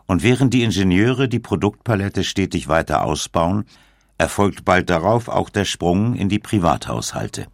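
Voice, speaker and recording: A man reading scripted documentary narration in a measured manner, with dramatic pauses. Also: sits low, sing-song, gravelly, no echo.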